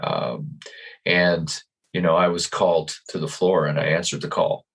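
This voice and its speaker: A man in his fifties, a guest on a video-call interview, talking in a calm, searching way with many restarts and repeated words. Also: sits low, a little slow, gravelly, breathy edge. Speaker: podcast guest. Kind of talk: reflective interview talk.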